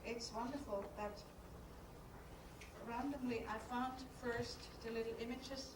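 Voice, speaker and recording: A woman whose first language is German reads a lecture in a slow, measured, poetic way, with halting asides. Her voice sits fairly high. The recording is distant and echoey, with steady noise under it. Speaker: conference lecturer. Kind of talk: reading a prepared lecture aloud, then speaking freely. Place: conference studio hall. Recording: echoey hall from far off, noisy.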